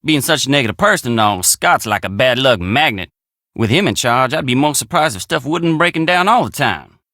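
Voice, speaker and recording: A man's voice: mid-range voice, lively intonation, fairly quick, bright, forceful tone, dry recording, clean studio sound. An actor playing a friendly, plainspoken young country handyman with an American drawl in a video game. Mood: wry, sincere. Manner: folksy, plainspoken country phrasing. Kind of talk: video game character dialogue.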